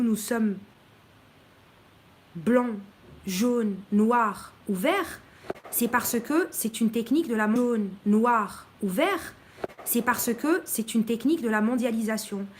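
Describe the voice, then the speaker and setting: High-voiced, outspoken woman streamer. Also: slow pace, slight background noise. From Morocco. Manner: outspoken and blunt, with many hesitations. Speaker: live streamer addressing viewers. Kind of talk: opinionated live-stream monologue.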